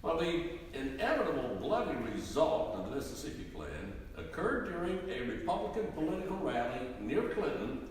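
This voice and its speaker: A man narrating history from a script in a live dramatic reading, deliberate and theatrically emphatic. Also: fairly high pitch, sing-song. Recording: echoey hall, distant mic, faint noise.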